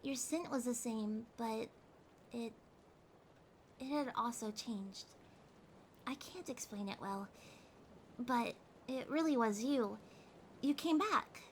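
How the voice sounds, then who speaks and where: A woman acting a needy, tender cat girl in an intimate ASMR audio drama, speaking haltingly and emotionally with breathy whispers. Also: fairly high pitch. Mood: sad, tender. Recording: rain ambience noise behind the voice. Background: rain sounds.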